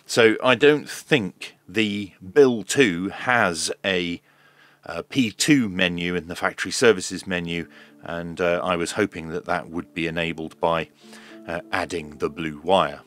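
Man, low voice, unhurried, measured tech demo commentary, faint music behind. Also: a bit bright. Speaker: host of a radio repair video. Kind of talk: hands-on tech demonstration commentary.

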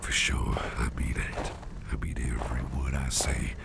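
A man playing a caring, slyly warm boss in an ASMR roleplay, talking in a gravelly half-whisper with a rambling, folksy, reassuring manner. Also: rumbling low, little pitch movement, moderate pace, heavy background noise, heavily acted. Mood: tender, reassuring. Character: caring, gruff-voiced boss, a man with sly, soothing warmth. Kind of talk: soft ASMR roleplay, addressing the listener.

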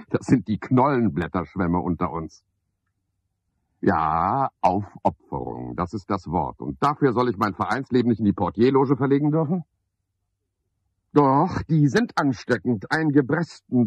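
An actor playing a garrulous, self-pitying and sanctimonious porter, rambling theatrically on the telephone in a 1970s German radio play. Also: male voice, fairly low pitch, breathy edge, no echo. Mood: irritated, scornful. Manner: theatrical, rambling one-sided telephone talk with sudden swoops. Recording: dry, slightly dull 1970s radio recording.

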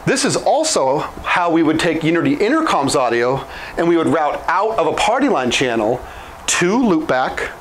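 A man's voice: on the high side, very animated, moderate pace, slight background noise, poor audio. A man around his late thirties giving an informal, step-by-step technical explanation as an instructor. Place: small indoor office room with whiteboard. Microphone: lapel mic.

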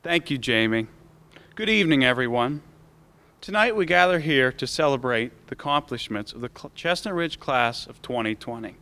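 A man's voice: mid-range voice, expressive, moderate pace, pushed and bright. A man in his late teens with an American accent, delivering a prepared commencement speech as a student speaker into a podium microphone. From United States. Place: school auditorium stage.

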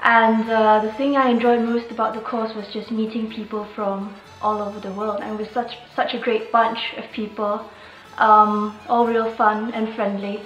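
A woman from Malaysia introduces herself to camera in an upbeat manner. Her voice sits fairly high. The room echoes and sounds slightly muffled. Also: level tone, moderate pace, fairly bright tone, faint music behind. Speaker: furniture student.

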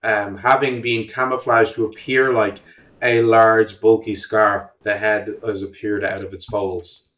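A man's voice: fairly low pitch, level tone, a little slow, a bit bright, dull audio. A man narrating as game master of an online tabletop roleplaying game, in a casual way. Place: indoors on a video call. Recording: distant mic on video call.

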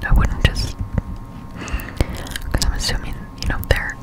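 A young woman whispering casual ASMR game commentary in a breathy voice, close to the microphone. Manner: casual, slangy, unhurried chat. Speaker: gaming ASMR streamer. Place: indoor room at home. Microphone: close-up Blue Yeti microphone.

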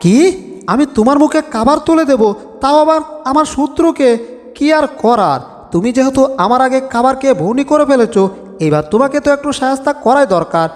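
Super high excitable cartoon tomcat, boastful, theatrical, big echoey reverb. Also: male voice, brisk. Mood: scheming. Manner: exclaiming, talking to himself, theatrical. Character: excitable, boastful, lovestruck male cartoon cat. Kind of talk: Bengali cartoon dub comedy. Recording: long reverb with music.

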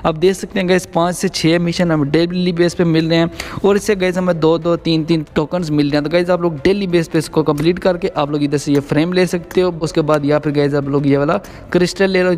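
A man with a slightly high-pitched voice narrates a gaming tutorial as the channel's host. He speaks directly to his viewers, often addressing them as guys, and explains each step as he goes. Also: fairly even intonation, a little fast, a little mellow, slight background noise.

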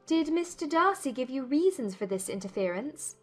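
A woman voicing a spirited, proud young gentlewoman, formal and pointed, in a dramatized audiobook. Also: high-pitched, very animated, normal speed, soft background music, pitched above the performer's own voice. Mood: questioning, indignant. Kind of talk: dramatized audiobook character dialogue.

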